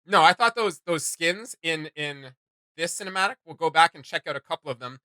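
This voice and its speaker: Guy doing a casual reaction vid, kinda high punchy voice, really animated and opinionated, super dry sound. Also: fairly quick, no echo. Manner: animated, emphatic, slangy. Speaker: reaction video host.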